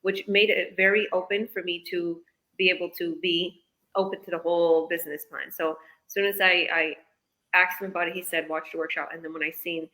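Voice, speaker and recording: A woman's voice: fairly low pitch, steady tone, a little fast, pushed and bright, rough recording. A woman business coach from British Columbia answering interview questions in a casual, chatty way, explaining eagerly. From British Columbia, Canada. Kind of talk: live online interview answers.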